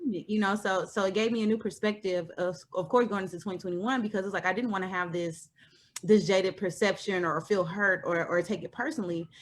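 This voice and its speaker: A woman in her thirties, a guest, talking things through thoughtfully with many pauses and fillers. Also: mid-range voice, steady tone. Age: 30s. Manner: thoughtful, pauses with lots of ums and you knows. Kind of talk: reflective conversation.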